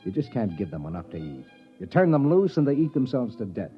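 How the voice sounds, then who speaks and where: Wry spaceman narrator male actor, 1950s radio sci-fi: very lively pitch, muffled vintage sound, music underneath. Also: brisk, close to an everyday voice. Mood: matter-of-fact. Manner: wry, dramatic storytelling delivery. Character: wry male space-expedition crewman with a nervous stomach, narrating.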